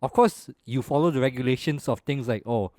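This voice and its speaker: A man's voice: mid-range voice, brisk, dry recording. Malaysian man, casual podcast co-host. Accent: Malaysian English. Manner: relaxed and opinionated, ends phrases with "lah".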